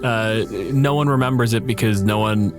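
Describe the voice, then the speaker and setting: A man's voice: lowish voice, moderate pace, over music. A man hosting a podcast, chatting about tabletop gaming in an informal, wry way. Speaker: podcast host.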